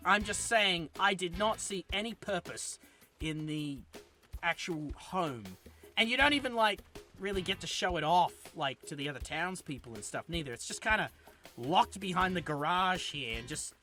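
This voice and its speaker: A man's voice: high voice, animated, moderate pace, sharp tone, soft background music. A man giving a casual, rambling game review, often correcting himself as he talks. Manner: rambling and chatty, with frequent self-corrections.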